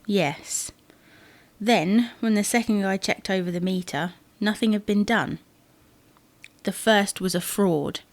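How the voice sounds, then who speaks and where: A woman's voice: mid-range voice, slow. A woman playing a stubborn, exasperated wife in a domestic comedy audio drama, indignant and matter-of-fact. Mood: worried, explaining.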